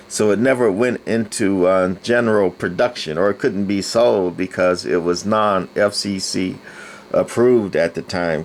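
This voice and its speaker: Slow-talking, low-voiced man explaining gear. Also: creaky, slight background noise. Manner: halting, rambling explanation with frequent pauses. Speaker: vintage radio collector presenting a radio on the bench. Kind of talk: casual technical show-and-tell.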